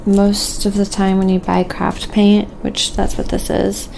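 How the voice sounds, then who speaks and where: A soft-spoken woman chatting casually and quietly as she narrates what she is doing in an ASMR livestream, in an unhurried way. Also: mid-range voice, monotone. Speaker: livestreaming craft painter and host. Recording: clear background noise, windy ambience. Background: wind howling.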